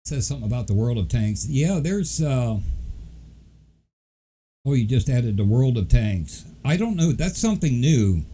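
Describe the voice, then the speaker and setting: A man's voice: medium pitch, sing-song, normal speed, mellow, slight background noise. A man recovering from the flu, hosting a casual livestream and chatting with viewers in a rambling, easygoing way. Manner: rambling, easygoing, many fillers. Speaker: livestream host.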